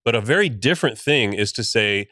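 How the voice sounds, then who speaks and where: Bright-voiced man weighing his words. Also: medium pitch, expressive, fairly quick, no room sound, clean studio sound. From United States. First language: Spanish and English. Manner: thoughtful, weighs his words, many fillers. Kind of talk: casual podcast discussion.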